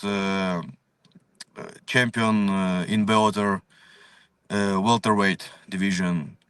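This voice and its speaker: A man's voice: fairly low pitch, pushed and bright, smooth, no echo, recorded from a distance. A young man from Ukraine, a fighter, answering interview questions in full of fillers, plain and determined. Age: young adult. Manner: non-native English, many fillers, plain and determined.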